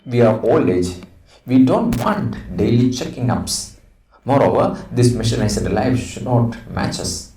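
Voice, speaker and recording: A middle-aged man with an Indian accent reads a school project script aloud as an English teacher, explaining it deliberately in short, didactic phrases. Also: mid-range voice, lively intonation, dark tone. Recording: roomy echo, distant mic, faint noise.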